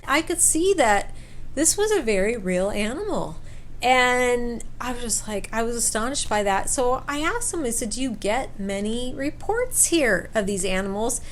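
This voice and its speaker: A woman with a fairly high voice shares a personal story in a casual, chatty style. Her delivery is informal and animated, like someone recounting an experience directly to her viewers. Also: normal speed, a little hiss or hum. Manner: animated retelling, acts out quoted dialogue. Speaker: storyteller vlogger.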